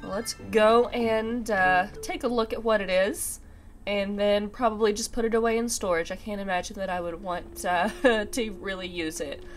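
A woman's voice: medium pitch, fairly even intonation, normal speed. A woman hosting a casual let's play, chatting to herself in a slightly nasal, congested voice with a sinus infection. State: congested with a sinus infection, recovering from a cough and sore throat. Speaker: gaming video host. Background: video game music.

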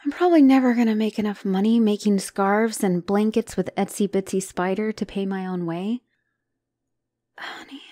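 Devoted monster girlfriend played by a female actor, ASMR roleplay: mellow, dry close sound, tender, apologetic, hesitant. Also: medium pitch, dry recording, close to an everyday voice. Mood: ashamed. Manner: tender, hesitant, often dropping to whisper. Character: loving, anxious, apologetic spider-woman fiancée.

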